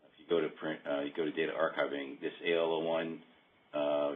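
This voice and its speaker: Very deep-voiced man on a phone line. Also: level tone, brisk. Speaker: software demonstrator. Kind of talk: casual screen-share walkthrough. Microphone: phone or conference call. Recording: muffled narrow phone-like call.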